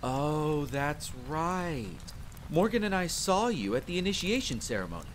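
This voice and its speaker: A man playing an eager, chattering young fantasy soldier. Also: fairly bright tone. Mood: excited. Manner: talkative, eager, bantering. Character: friendly, chatty, excitable young male soldier, fantasy spearman. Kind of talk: immersive roleplay audio drama addressed to the listener. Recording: constant rain and rumble behind voice. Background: rain, campfire ambience, rolling thunder.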